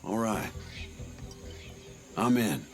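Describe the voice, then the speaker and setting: A man's voice: on the low side, sing-song, drawn-out words, exaggerated voice. A man voicing a folksy, easygoing game character who chuckles often. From Central Texas. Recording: game cutscene with faint music.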